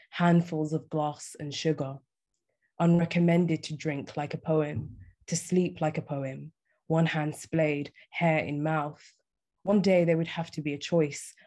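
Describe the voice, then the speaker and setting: A young woman poet reading her poems aloud in a measured, deliberate way. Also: low-pitched, warm tone. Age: young adult. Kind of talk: poetry reading. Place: small indoor room, on a video call.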